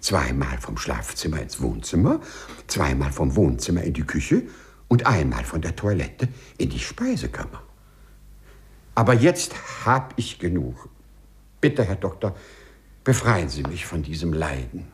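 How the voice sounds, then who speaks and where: A man's voice: very deep voice, big pitch swings, measured pace, slightly rough, exaggerated voice. An actor playing a timid, overly correct male civil servant with hesitant, formal phrasing in a 1950s radio play. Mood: earnest, anxious.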